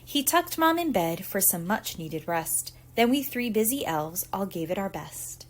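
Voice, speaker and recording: A woman reading a picture book aloud to young children in an expressive, theatrical storytelling manner. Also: mid-range voice. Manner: deliberate, theatrical storytelling with acted emphasis. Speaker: preschool teacher reading aloud.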